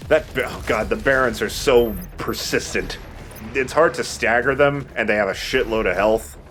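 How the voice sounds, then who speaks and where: A man's voice: mid-range voice, normal speed, a bit bright, a little hiss or hum. A man giving casual commentary as he plays a shooter game, as host of a let's play.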